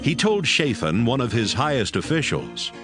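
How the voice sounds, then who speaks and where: A man narrating scripture as a scripted, dramatized audiobook in a measured storytelling style. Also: mid-range voice, very animated. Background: prominent music.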